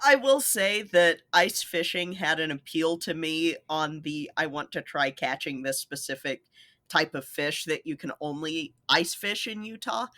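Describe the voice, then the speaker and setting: A woman's voice: low-pitched, lively intonation, a little slow, a bit bright, no echo, studio quality. A woman co-hosting a podcast in casual chat, playful and agreeable. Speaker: podcast co-host.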